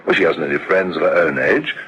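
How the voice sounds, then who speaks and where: An actor playing a relaxed, easygoing husband who chuckles and talks his wife out of her worries. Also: low voice, fast talker, dull audio, slight background noise, close to an everyday voice. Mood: reassuring. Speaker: husband and father.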